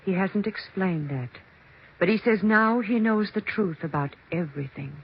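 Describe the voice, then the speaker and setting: An actress playing a solemn, insinuating middle-aged sister-in-law in a 1940s radio drama, measured and insistent. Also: female voice, mid-range voice, lively intonation, breathy edge. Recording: muffled, faintly noisy 1940s radio.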